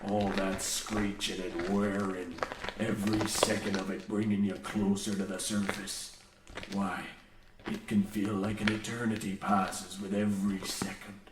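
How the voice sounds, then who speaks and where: Man acting a slow, crazed old mine foreman. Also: lowish voice, level tone, natural voice. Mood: ominous. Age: older. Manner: theatrical, archaic pirate-like rustic speech, preachy. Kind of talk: parody of old radio horror acting. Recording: roomy echo, faint noise.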